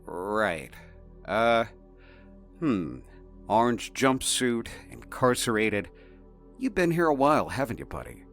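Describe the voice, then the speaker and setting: A cocky, easygoing anomalous being from an audio drama, acted by a man. He talks casually and flippantly at a middle pitch, in loose slang. Faint music sits behind the voice. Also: very animated, slow pace, long pauses, a bit bright, heavily acted. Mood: relaxed, probing.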